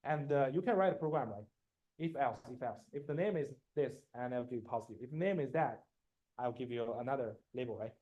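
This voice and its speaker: A man in his forties, a native Chinese speaker, giving a university machine learning lecture in a halting way, full of fillers and a constant "right?", often clearing his throat. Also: mid-range voice, lo-fi sound. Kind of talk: classroom lecture.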